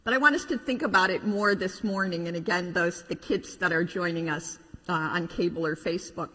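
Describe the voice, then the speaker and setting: A middle-aged woman, a pastor telling a children's story in an animated way with informal asides. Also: lowish voice, a little fast. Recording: reverberant hall.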